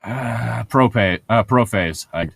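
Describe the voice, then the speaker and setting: A man's voice: medium pitch, slow pace, vocal fry, a bit bright, slightly rough, no room sound, clean studio sound. A man reading aloud casually and breaking off for sarcastic, sweary commentary as co-host of a comedic read-along.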